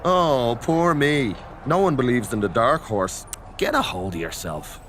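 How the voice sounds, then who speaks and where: A man's voice: mid-range voice, animated, unhurried, fairly bright tone, slight background noise. A man playing a blunt, goading but encouraging friend in a wrestling game cutscene. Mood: blunt, mocking. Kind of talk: video game cutscene acting.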